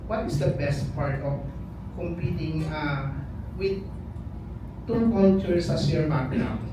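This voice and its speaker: A man's voice: on the high side, slow pace. A male reporter politely and somewhat hesitantly putting a question to a guest at a press event. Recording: very echoey, distant, noisy room.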